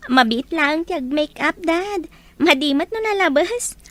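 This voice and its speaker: An actress playing a cheerful, affectionate young daughter in a radio drama. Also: female voice, sits high, animated, a little slow, fairly bright tone.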